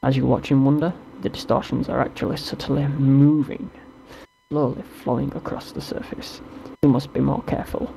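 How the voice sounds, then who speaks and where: Gravelly, mellow man narrating a game. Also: mid-range voice, flat delivery, moderate pace, poor audio. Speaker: gaming show co-host narrating the game. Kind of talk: reading text-based game narration aloud. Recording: noticeable background noise.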